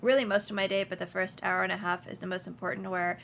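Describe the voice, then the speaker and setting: A woman's voice: on the low side, flat delivery, fast, vocal fry, fairly bright tone. A young woman from Houston, speaking casually as an interview guest, with many ums and restarts. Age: young adult. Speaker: insurance agency principal, guest.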